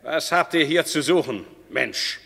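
An actor playing a brusque, haughty servant in a classical radio drama, in a reverberant hall. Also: male voice, a little high, lively intonation, a little slow, vocal fry, pushed and bright, heavily acted. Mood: irritated. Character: brusque, haughty servant turning away a beggar.

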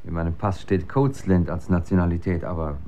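A man's voice: deep voice, fairly even intonation, fairly quick, warm tone, lightly acted. An actor playing a polite, uneasy male visitor in a naturalistic radio drama, speaking somewhat hesitantly.

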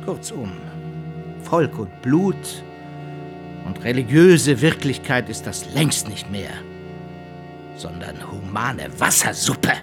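A man's voice: mid-range voice, expressive, slow, long pauses, over music. An actor playing a haughty, scornful male scholar who lectures in an emphatic, mocking way in a radio drama. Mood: scornful lecturing.

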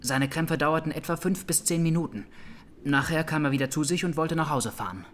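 Male actor as young courtroom witness, radio drama, higher-pitched, careful, terse, factual, faint ambient noise. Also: fairly quick, natural voice. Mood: reserved, factual. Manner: terse, careful, matter-of-fact. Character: Armenian consulate secretary of twenty-three, reserved trial witness.

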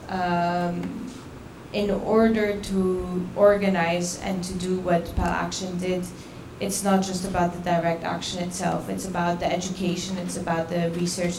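A woman with a lowish voice speaks earnestly on a panel, pausing to think as she talks. Her delivery stays flat. Background noise and strong hall echo surround her. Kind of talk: panel discussion. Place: event venue.

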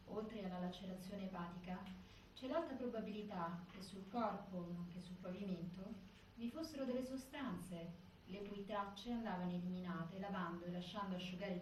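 A woman's voice: mid-range voice, normal speed, a little mellow, lightly acted. A woman performing a formal, rhetorical stage monologue as someone arguing indignantly against a judicial ruling. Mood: grave. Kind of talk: scripted theatrical monologue. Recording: very echoey, distant, faint noise.

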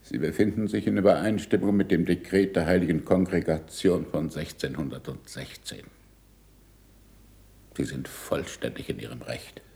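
Very deep, gravelly, stern scholar. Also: male voice, normal speed, warm tone. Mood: stern, cutting. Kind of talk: German radio play acting, 1960s.

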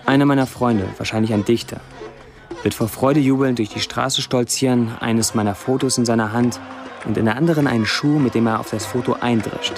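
An actor playing a sardonic Syrian blacksmith who writes Facebook posts, delivered as a literary monologue in a radio play. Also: male voice, mid-range voice, steady tone, a little fast, over music, lightly acted. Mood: wry.

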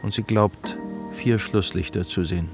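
A man's voice: on the low side, fairly even intonation, moderate pace, over music, close to an everyday voice. A man delivering scripted narration as the narrator of a radio drama.

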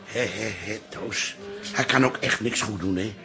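A man's voice: on the low side, a little slow, sharp tone. An actor playing a meddlesome, cheeky old father in a radio drama. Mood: meddling. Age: older.

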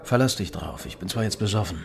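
A man's voice: fairly low pitch, fast talker, gravelly. An actor playing a drunk secret-police man in a radio drama, rambling confidentially. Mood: boozy, confiding.

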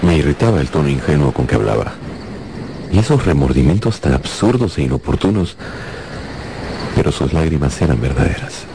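An actor playing a worldly, soothing seducer in a radio drama, speaking in a measured, theatrical, musing way. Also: male voice, a bass voice, big pitch swings, creaky, noisy background, exaggerated voice. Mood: reflective. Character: worldly, soothing male seducer, bored yet tender, musing. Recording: loud music and sound effects behind.

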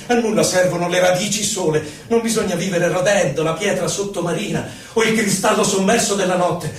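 High-pitched anguished poet played by a man, echoing. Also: close to an everyday voice. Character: anguished male poet on an inward journey, impassioned. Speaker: stage actor. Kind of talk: theatrical poetry recitation. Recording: very echoey hall, distant microphone.

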